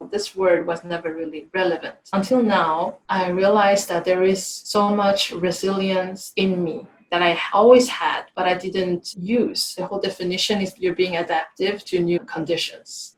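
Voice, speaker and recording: A woman's voice: fairly low pitch, slight background noise. Reflective woman in a roomy space. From Sweden. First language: Swedish and Mandarin. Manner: thoughtful, earnest, measured. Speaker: guest. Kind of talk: reflective interview answers.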